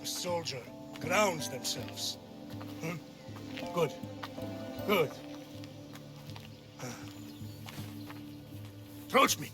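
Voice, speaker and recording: An actor playing a stern, proud Spartan soldier father in a video game, with a Greek accent and a measured, commanding manner. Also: fairly high pitch, expressive. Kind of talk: video game cutscene acting. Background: loud cinematic music.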